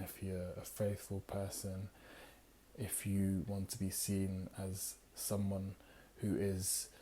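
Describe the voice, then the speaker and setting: A man with a low, mellow voice that barely rises or falls talks through a book review. He sounds tired and a little raspy, and he rambles along with fillers, pausing now and then to read passages aloud. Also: slight background noise. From United Kingdom. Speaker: book club host.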